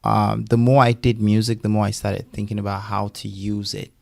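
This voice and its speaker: A man's voice: lowish voice, moderate pace, gravelly, no room sound, clean studio sound. A man, a singer and podcast guest, talking casually and emphatically about his music and life. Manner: animated, emphatic storytelling with laughs.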